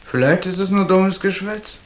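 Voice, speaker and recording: A man's voice: high-pitched, moderate pace, close to an everyday voice. A male actor playing a proud, suspicious prizefighter in a radio crime drama, blunt and challenging. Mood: guarded, dismissive.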